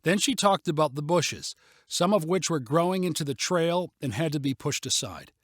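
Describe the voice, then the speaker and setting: A man's voice: a little high, lively intonation, normal speed, a bit bright, no room sound, clean studio sound. A man narrating a story in a scripted audiobook style.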